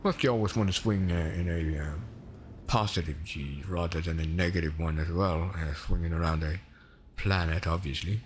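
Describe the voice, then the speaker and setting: Swedish-accented male streamer, low voice, chatty and rambling, faint hum behind. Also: normal speed. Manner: chatty, rambling, self-correcting. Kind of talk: casual gameplay commentary.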